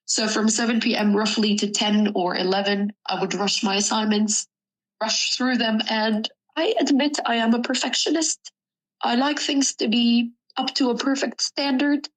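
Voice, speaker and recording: A young woman from Sudan, a native Arabic speaker, earnestly reflecting on her life in an interview, pausing with ums and correcting herself. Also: a little high, rough recording. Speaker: student interviewee. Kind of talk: personal interview.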